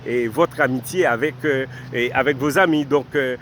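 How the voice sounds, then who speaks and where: A man's voice: medium pitch, moderate pace, a bit bright, slight background noise. Man giving earnest advice outdoors. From Haiti. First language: Haitian Creole. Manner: addresses viewers formally, repeats points for emphasis. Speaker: vlogger giving life advice. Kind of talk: direct-to-camera advice talk.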